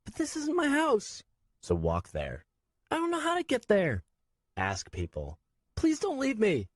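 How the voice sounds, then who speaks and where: A frightened young boy pleads in a high, tearful voice. His pitch leaps about as he begs and wails. The recording is dry and close. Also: huge pitch swings, measured pace, dry recording, heavily acted. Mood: pleading.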